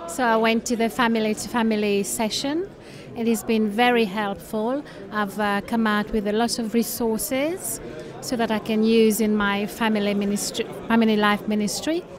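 A woman, a church ministry leader, giving a halting interview response. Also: fairly high pitch, steady tone, over music. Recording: crowd chatter around the voice.

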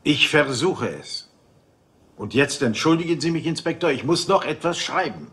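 An actor playing a smug Hollywood gossip reporter in a German radio crime drama, cool, dismissive and clipped. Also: male voice, on the high side, lively intonation, moderate pace, a bit bright. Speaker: gossip columnist.

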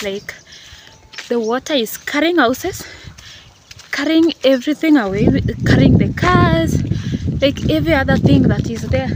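Earnest Kenyan woman pleading on a phone vlog. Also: on the high side. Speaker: vlogger. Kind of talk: selfie vlog to camera. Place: outdoors in green rural countryside. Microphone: phone held at arm's length. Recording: outdoor phone recording with clear background noise.

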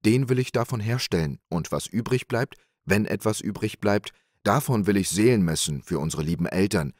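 A man voicing a pious, calm monk in a storybook tale, speaking in a gentle, measured way. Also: lowish voice, no echo, clean studio sound. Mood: earnest. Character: pious calm male monk, older brother figure.

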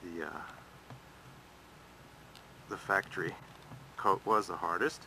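A man with a low, gravelly voice that has a bright, cutting edge, talking in a folksy, down-to-earth way about the work in front of him. He is outdoors with a camera mic, and faint outdoor noise sits behind him. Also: slow, rough recording. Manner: plain-spoken, folksy, practical. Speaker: hobby boat restorer. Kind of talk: casual narration over his own camera footage. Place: outdoors in a backyard.

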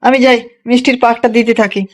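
Cartoon part: busy, quick-tempered village shop man played by a woman, high-pitched, expressive. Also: close to an everyday voice. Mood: weary, kindly. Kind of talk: children's cartoon dialogue.

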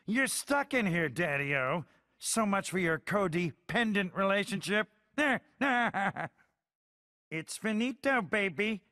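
A cartoon game villain: a jive-talking robot assassin with a very high, piercing voice. He taunts in hipster slang and slides into jazz scat. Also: animated, slow pace, no room sound, studio quality, full character voice. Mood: smug mocking. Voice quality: bright, sharp, high-pitched.

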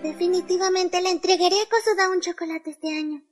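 High-pitched, excitable anime schoolgirl. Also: fairly quick. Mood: determined. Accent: Latin American Spanish. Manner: dramatic, exclamatory. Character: excitable, proud, lovestruck teenage schoolgirl. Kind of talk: anime dub acting. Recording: background music over fan dub.

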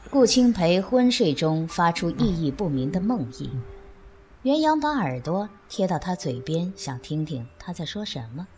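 A woman giving scripted, measured narration for a radio drama. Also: fairly low pitch, very animated, a little mellow, a little hiss or hum. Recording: background music.

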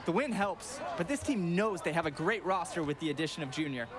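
Male colour commentator played by a man for a basketball game, analytical, brisk delivery, high register. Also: lively intonation, over music, close to an everyday voice. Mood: assured analysis.

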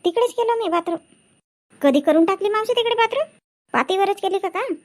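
Actor as very shrill nagging Marathi village woman. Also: lively intonation, fairly quick. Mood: nosy, scolding. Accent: rural Vidarbha Marathi. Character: nagging village women working in a paddy field. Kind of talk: comedic cartoon dialogue.

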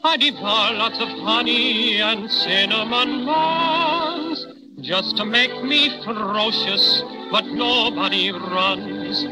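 Cocky lil teddy bear singing slow n high, loud music, 30s radio style. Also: male voice, poor audio. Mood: playful, boastful. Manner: theatrical, sung, rhyming. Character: boastful little teddy bear singing his theme. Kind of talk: 1930s radio novelty song over music. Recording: old 1930s radio recording with loud music.

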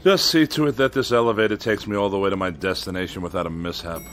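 An actor playing a haughty, paranoid middle-aged writer in a radio drama, with florid, sarcastic speech. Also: male voice, deep voice, quick pace, a bit bright, slight background noise. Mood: disdainful. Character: pompous, paranoid, irascible middle-aged male writer who hates machines. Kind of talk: theatrical radio drama acting. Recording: studio radio drama with music behind.

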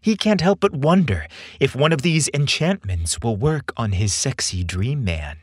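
A male podcast narrator with a husky voice and a widely swooping, expressive pitch. He reads scripted material in a theatrical, deliberate way, recorded close and dry. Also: no room sound. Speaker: podcast host and story narrator.

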